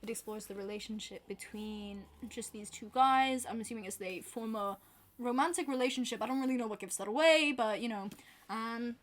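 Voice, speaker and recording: A young woman with a softened Glasgow accent talks casually to camera, her voice a little higher than most. She rambles, with plenty of fillers and self-deprecating asides. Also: brisk. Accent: softened Glaswegian Scottish. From Scotland. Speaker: book vlogger.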